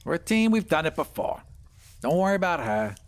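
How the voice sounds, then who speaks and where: A man's voice: a little high, very animated, fairly quick, voice pushed up. A man voicing a cocky, mouthy but loyal furry squad member in a tabletop game, sarcastic and brash. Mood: reassuring.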